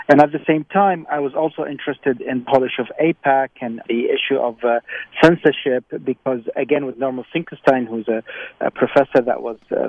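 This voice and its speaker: A man's voice: mid-range voice, no echo, phone-quality sound. A playwright answering interview questions, explaining his ideas thoughtfully with frequent fillers and restarts. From born in Prague, raised partly in Baghdad. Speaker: playwright and performer.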